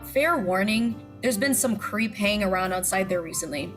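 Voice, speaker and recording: A woman's voice: medium pitch, expressive, moderate pace, lightly acted. An actress playing a jaded, plainspoken woman who part-owns a hotel, casual and wry, in an audio drama. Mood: amused, casual. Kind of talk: scripted audio drama acting. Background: music.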